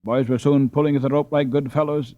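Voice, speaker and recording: A man narrating an audiobook adventure in a suspenseful, expressive, dramatic storytelling style. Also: mid-range voice, quick pace, no room sound, highs cut off.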